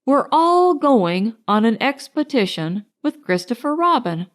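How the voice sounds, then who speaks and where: A woman's voice: on the high side, big pitch swings, a little slow. A woman voicing a cheerful, naive, bumbling toy bear in a storybook, eager and muddling his words. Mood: eager, muddled.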